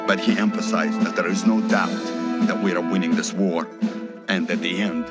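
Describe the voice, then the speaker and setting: Guy doing soviet propaganda announcer, solemn, lowish, blaring music behind. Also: fairly even intonation, moderate pace, close to an everyday voice. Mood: solemn, assured. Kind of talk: propaganda news bulletin.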